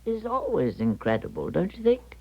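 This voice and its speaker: Radio-play clairvoyant: anguished, evasive woman, highly expressive pitch, dull vintage broadcast sound. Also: normal speed, exaggerated voice. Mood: earnest confiding. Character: troubled, weary, secretive woman clairvoyant, hushed and evasive. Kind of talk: 1970s radio drama acting.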